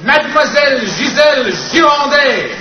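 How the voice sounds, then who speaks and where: Very high, booming circus ringmaster. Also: male voice, expressive, background noise. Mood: grand, excited. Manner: declamatory, drawn-out, shouting. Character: grandiose French circus ringmaster announcing the star act. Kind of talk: 1940s radio drama acting. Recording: echoing, dull old radio recording. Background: loud music and crowd.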